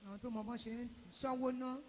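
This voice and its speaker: Woman interpreter talking super fast in a big echoey hall, faint noise. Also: on the high side, fairly even intonation. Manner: rapid, echoing each phrase just after the speaker. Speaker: Yoruba interpreter. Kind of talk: live consecutive interpretation.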